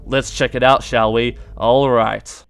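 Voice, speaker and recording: A man's voice: mid-range voice, flat delivery, takes it slowly, gravelly, clean tone, dry recording. A man hosting informal explanatory video game commentary, emphatic and stretching key words as he goes. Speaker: video game theory host.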